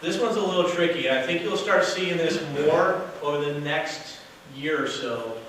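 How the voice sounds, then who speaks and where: A man giving a loud, casual workshop talk to an audience as a hotel sales director, with no microphone. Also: fairly high pitch, moderate pace. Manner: casual, chatty, with fillers. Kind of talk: workshop presentation to a live audience. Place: meeting room with an audience. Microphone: distant camera, no microphone. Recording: very echoey room from far off with noise.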